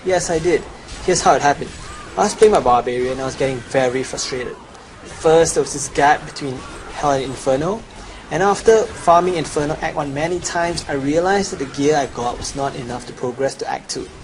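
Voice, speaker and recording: A man's voice: on the high side, brisk. A young adult man giving a scripted promotional voice-over as a gamer presenting his guide. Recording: clearly noisy home recording.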